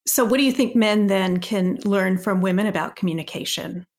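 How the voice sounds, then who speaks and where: Woman host, even mid-pitch, measured interviewer. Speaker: podcast host. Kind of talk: interview questions.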